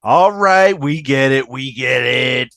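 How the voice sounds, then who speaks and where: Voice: medium pitch, takes it slowly, fairly bright tone, no room sound, clean studio sound. An amateur actor on a video call, reading the part of a blunt, irreverent woman who rallies doomed friends. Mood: rallying. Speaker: amateur table-read actor. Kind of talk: fan table read of TV comedy script.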